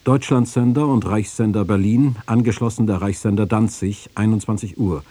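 Second documentary voice: man, low and warm, husky edge, formal, measured reading. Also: dry recording. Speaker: radio feature speaker and quotation reader.

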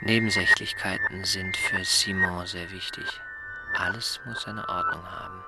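An actor playing an observant, solitary man reciting his private notes in a radio drama, in a measured, matter-of-fact way. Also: deep voice, steady tone. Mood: detached, observing. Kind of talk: scripted radio drama monologue. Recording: prominent music under the voice.

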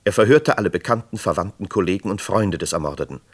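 A man's voice: low voice, lively intonation, fast talker, breathy edge. A man narrating a scripted true crime radio drama in a sober manner. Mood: sober, suspenseful.

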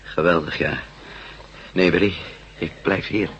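A man playing a withdrawn, worn-out former astronaut in a radio drama, answering tersely and apathetically in a gravelly voice. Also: measured pace, highs cut off, slight background noise. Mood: weary, indifferent. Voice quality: very deep, gravelly. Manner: terse, apathetic, flat replies. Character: weary, withdrawn male former astronaut enslaved as labourer.

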